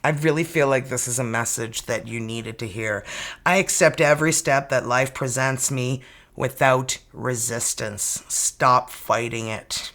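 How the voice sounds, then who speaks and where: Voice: medium pitch, a bit bright. Chatty tarot reader. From Canada. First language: French. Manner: chatty, exclaiming, reads card quotes slowly. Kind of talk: casual tarot reading to camera.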